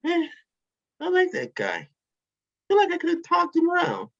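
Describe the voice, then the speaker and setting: An actor voicing a smug, flippant villain mastermind in a table read, boastful and wisecracking, with a husky voice. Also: very high-pitched, huge pitch swings, normal speed, fairly bright tone, heavily acted. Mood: casual. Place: remote online table read. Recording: dull lo-fi call audio.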